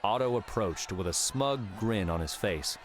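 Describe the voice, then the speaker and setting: A man's voice: lowish voice, lively intonation, measured pace, some chatter behind. A man narrating a scripted story as an audiobook narrator.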